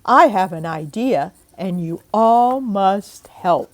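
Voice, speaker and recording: An older woman voicing a wise, brisk chipmunk aunt from a storybook, loud and emphatic with drawn-out words. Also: mid-range voice, expressive. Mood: firm, reassuring.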